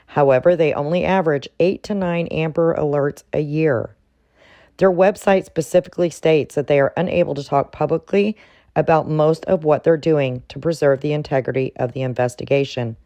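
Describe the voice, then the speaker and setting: Low, mellow woman commentator, dry sound. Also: fairly even intonation, moderate pace, dry recording.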